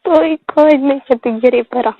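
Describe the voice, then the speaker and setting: High-pitched Marwari woman on a muffled call. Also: normal speed. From Rajasthan, India. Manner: short replies and repeated questions. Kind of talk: casual private phone chat. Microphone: telephone. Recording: muffled lo-fi phone call recording.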